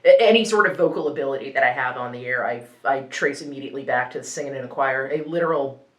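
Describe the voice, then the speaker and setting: A woman's voice: very deep voice, fast talker, roomy sound. A young woman whose first language is Ukrainian, a radio news presenter chatting and laughing her way through a hot wing challenge. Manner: giggly, rambling, reacting to spicy food. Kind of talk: casual chat during a hot wing challenge.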